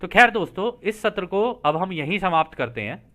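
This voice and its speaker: A man's voice: high-pitched, sing-song, moderate pace, pushed and bright. A man hosting an explainer, reading out a translated text and breaking off to explain it casually, often checking in with listeners. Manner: explanatory, addresses listeners directly with frequent check-in tags. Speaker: host and explainer.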